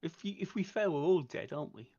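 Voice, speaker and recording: A man's voice: on the high side, lively intonation, fast talker, warm tone. A man talking through plans conversationally as a player in a tabletop roleplay game, on a muffled microphone. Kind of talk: conversational planning in character. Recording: dull, muffled microphone sound.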